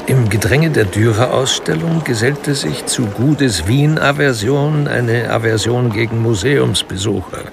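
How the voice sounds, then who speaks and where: A man narrating a crime radio drama in a measured, dry, literary manner, detached and wry. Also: mid-range voice, creaky, background noise. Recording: music under studio narration. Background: music.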